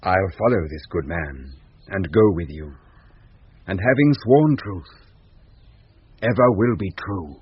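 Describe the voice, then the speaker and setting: Earnest young gentleman in love, lowish voice, lively musing verse for radio drama. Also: slightly rough, no room sound, heavily acted. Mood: resolved. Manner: lyrical, musing, measured verse. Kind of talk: 1970s BBC radio Shakespeare soliloquy. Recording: muffled, noisy 1970s radio recording.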